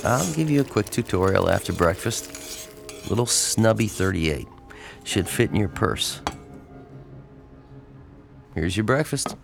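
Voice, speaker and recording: An actor playing an easygoing, protective male newspaper reporter in an intimate audio drama, with a gravelly voice and a casual, wry manner. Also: on the low side, lively intonation, a little slow, long pauses, over music. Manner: casual, wry, hesitant at tender moments.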